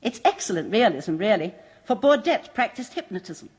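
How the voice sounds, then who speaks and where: An actress playing a composed woman who runs a waxworks museum, speaking briskly and precisely, in a vintage radio drama. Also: mid-range voice, very animated, slightly rough. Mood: cool. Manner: brisk, precise, faintly macabre relish. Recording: muffled old radio broadcast.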